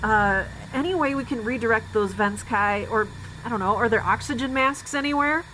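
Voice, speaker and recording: A woman's voice: a little high, normal speed, noisy background, lightly acted. A woman playing a capable but flustered young spaceship heroine in an audio drama, casual and wry. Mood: urgent.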